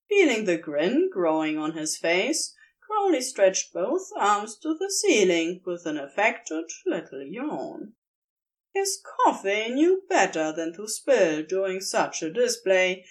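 Unhurried woman reading a romantic story. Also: medium pitch. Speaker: podfic reader. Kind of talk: unhurried fan fiction narration.

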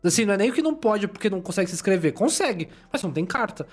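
A man hosting a casual podcast chat in a relaxed, chatty way. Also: high-pitched, racing. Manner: relaxed, chatty, uses "tipo" and "sabe".

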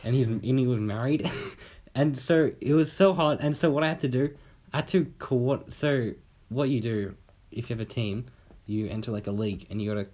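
A man's voice: lowish voice, a little mellow. A young man from Australia telling a long, rambling story in casual chat on a podcast, swearing casually and acting out what people said.

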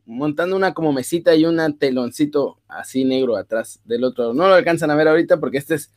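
An easygoing man with a Mexican accent co-hosts a live stream, joking and talking slang in a loose, chatty flow. His voice sits a bit high for a man. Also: brisk, a little mellow. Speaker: co-host of a live sports stream. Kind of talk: casual live-stream chat.

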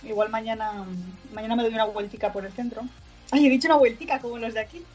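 A woman's voice: on the high side, fast talker, slightly rough, soft background music. Woman with a northern Spanish accent. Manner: animated, laughing, swears casually. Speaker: podcast co-host. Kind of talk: casual podcast chat.

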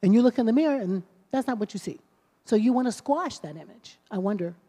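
Mellow-voiced woman pastor, preacherly. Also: on the high side, animated, fast talker. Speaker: pastor and host. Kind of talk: sermon conversation. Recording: long hall reverb.

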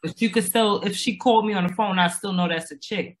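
A woman's voice: mid-range voice, fairly quick, poor audio. A woman co-hosting a reaction show who gives her opinions in a blunt, crude, emphatic way. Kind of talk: casual chat reacting to a video.

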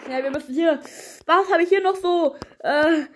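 A woman giving excitable, slangy improvised gameplay commentary and talking directly to her audience. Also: very high-pitched, moderate pace. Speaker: gaming narrator and player.

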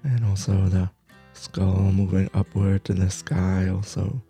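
A man leading a guided meditation, soft-spoken, in short halting phrases with long pauses. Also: low-pitched, level tone, soft background music. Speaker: meditation teacher.

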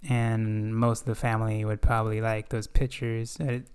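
Male podcast host, smooth and steady voice, earnest, thoughtful, halting at times. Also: fairly low pitch. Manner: earnest, reflective, halting with fillers. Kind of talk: casual scripture discussion.

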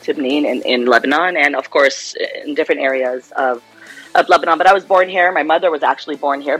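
A woman's voice: deep voice, breathy edge, poor audio. A woman from Los Angeles with an American accent, a clinical psychologist chatting as a radio guest and laughing easily. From Los Angeles, United States. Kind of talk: casual radio interview.

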